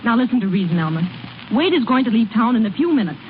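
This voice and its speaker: An earnest young small-town woman in a vintage radio drama. Her coaxing, direct voice is fairly high, coming through a thin, phone-like old broadcast. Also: big pitch swings, fast talker. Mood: earnest, urging. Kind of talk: 1930s-40s radio drama acting. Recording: thin, narrow-band vintage radio recording, faint noise.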